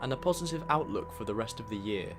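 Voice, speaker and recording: A man with a fairly low voice narrates a scripted personal recap. His delivery is measured and reflective, and music plays clearly underneath him. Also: expressive. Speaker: vlog narrator. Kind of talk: scripted year-in-review narration.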